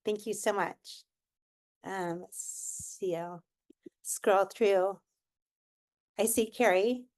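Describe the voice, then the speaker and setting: A woman's voice: medium pitch, steady tone, very slow, darkish tone. A woman, co-chair of a subcommittee, introduces herself cheerfully at an online meeting.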